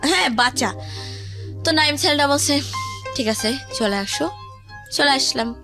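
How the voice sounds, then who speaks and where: A woman's voice: high voice, animated, measured pace. A young woman with a Bangladeshi accent telling a personal story conversationally, rambling and acting out what others said. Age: teens to young adult. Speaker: guest telling their life story. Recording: music behind the voice.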